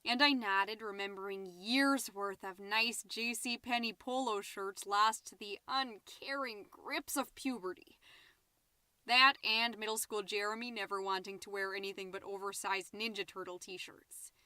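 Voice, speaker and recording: A woman's voice: mid-range voice, fairly even intonation, a little slow, pushed and bright, clear, resonant tone, dry recording. A woman playing a gossipy, petty, easily flustered middle-aged American suburban mom, chattily hosting her podcast in a scripted comedic monologue. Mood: rambling, distracted. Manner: chatty, indignant, with muttered asides. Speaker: podcast host.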